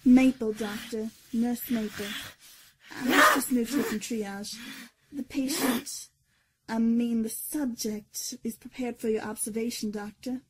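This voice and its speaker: A woman's voice: on the high side, fairly even intonation, measured pace, husky, poor audio. A woman playing a nervous, hesitant young nurse in a horror audio drama. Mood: nervous, deferential. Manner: hesitant, faltering. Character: nervous young female nurse, new surgical assistant.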